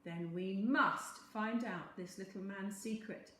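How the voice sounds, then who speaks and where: A woman's voice: medium pitch, takes it slowly, fairly bright tone. Woman playing a kind, brave prince, echoey. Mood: resolute. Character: kind, brave, reassuring young storybook prince. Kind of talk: children's storybook character voice. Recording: very echoey room.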